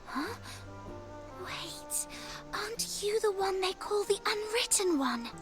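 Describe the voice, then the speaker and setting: A woman's voice: very high-pitched, lively intonation, slow, music in the background, exaggerated voice. An actress playing an earnest, polite, girlish novice priestess in a video game, explaining things. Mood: curious.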